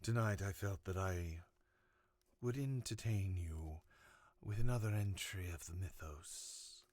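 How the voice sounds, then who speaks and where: Male horror narrator, lowish pitch, dramatic audiobook delivery, very dry close recording. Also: measured pace, darkish tone, dry recording. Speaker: host and narrator of horror story readings.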